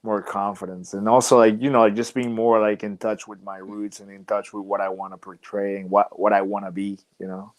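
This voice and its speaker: A man's voice: fairly low pitch, little pitch movement, brisk, few pauses. A young man, a professional wrestler guest on a podcast, talking casually and reflectively with many "like" and "you know" fillers. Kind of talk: casual interview chat.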